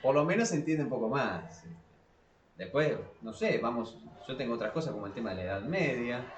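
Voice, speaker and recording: A man's voice: medium pitch, animated, fairly quick, background noise. A man with an Argentine Spanish accent hosting a casual podcast debate, explaining informally in a rambling, self-correcting way. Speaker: podcast host. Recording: echoey, reverberant room.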